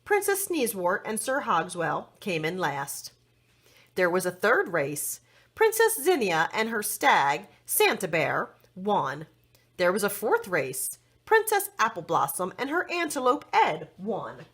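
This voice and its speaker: A woman reading a children's story aloud expressively, with dramatic, deliberate phrasing for young listeners. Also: medium pitch, wildly swooping pitch, a bit bright, husky.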